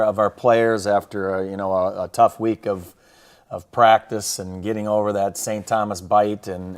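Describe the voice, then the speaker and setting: Low, even-voiced man, a football coach. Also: clean tone. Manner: colloquial, full of coaching slang. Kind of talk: casual game recap and highlight commentary.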